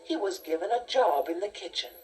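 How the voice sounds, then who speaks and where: A woman reading a scripted children's story in a measured, expressive storytelling manner. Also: fairly low pitch. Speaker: storybook narrator. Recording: distant-sounding cassette tape transfer. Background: music.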